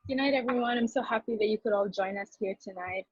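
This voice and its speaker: A young woman from Papua New Guinea, a law student, introducing herself in a cheerful, brisk way over a video call. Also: medium pitch, breathy edge, no room sound. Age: young adult. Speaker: law student, operations director. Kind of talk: self-introduction in a webinar. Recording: dull lo-fi call audio.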